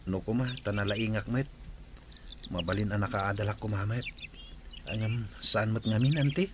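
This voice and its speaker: A man's voice: on the low side, moderate pace, heavy background noise, close to an everyday voice. A man playing a humble, hardworking village farmer in an Ilocano radio drama.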